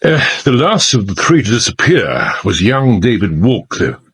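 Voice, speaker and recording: Period drama spymaster: British, urgent and grave, deep-ish husky voice, dramatic emphasis. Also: male voice, sing-song, moderate pace, fairly bright tone, heavily acted. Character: grave, urgent male British intelligence chief. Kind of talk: period audio drama acting.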